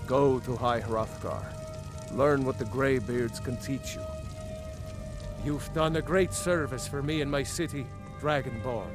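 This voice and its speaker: A man's voice: medium pitch, a little slow, natural voice. Guy acting as a noble ruler in a fantasy rpg, stately and reflective, music behind him. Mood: formal, proud. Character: dignified Jarl, measured ruler of a fantasy city. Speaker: voice actor in a fantasy video game. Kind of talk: fantasy game dialogue.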